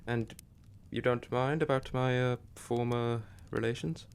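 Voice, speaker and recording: A man playing a flustered, scatterbrained gentleman in an audio drama, with a hesitant, fussy, wry manner. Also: medium pitch, steady tone, unhurried, creaky, smooth.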